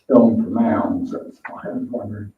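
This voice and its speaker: A man's voice: fairly low pitch, fairly even intonation, normal speed, vocal fry. A man on a board informally asking practical questions during a meeting. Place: indoor town board meeting room. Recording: muffled lo-fi, echoey room, distant mic, noise.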